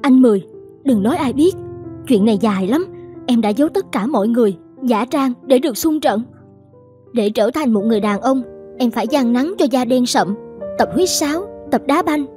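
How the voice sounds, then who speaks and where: A woman's voice: on the high side, expressive, moderate pace, mellow, close to an everyday voice. A woman voicing an earnest, enduring young woman soldier in a cartoon, with a pleading manner. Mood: earnest confession. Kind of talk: cartoon dubbing. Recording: music behind the voice.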